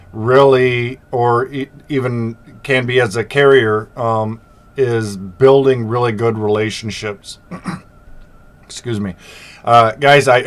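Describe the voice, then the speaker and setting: A middle-aged man with a slight sore throat talks straight to his viewers about the freight business. His pitch sits in a plain, middling range, and his delivery is loose and conversational, full of "um" and "you know" as he reassures the audience. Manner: conversational, many fillers, reassuring asides. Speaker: freight business channel host. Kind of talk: casual talk to camera.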